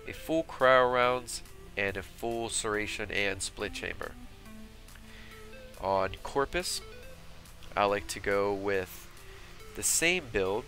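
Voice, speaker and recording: A man with a stuffed-up cold, giving relaxed, chatty commentary over game footage for a weapon build video. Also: on the low side. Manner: relaxed, chatty, with fillers and quick asides. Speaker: gaming YouTuber. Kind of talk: casual gameplay commentary.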